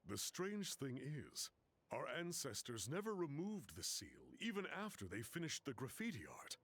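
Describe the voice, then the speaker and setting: Talkative young-hearted guide, video game, husky, animated intonation, casual storytelling. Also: male voice, medium pitch, moderate pace, no echo. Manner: casual, explanatory, chatty.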